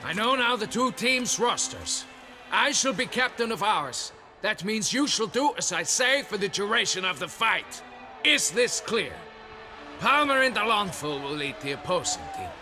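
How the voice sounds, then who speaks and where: High, sharp-voiced commanding knight captain. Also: male voice, big pitch swings, moderate pace, faint music behind, heavily acted. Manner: formal, authoritative, declamatory. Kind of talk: video game voice acting.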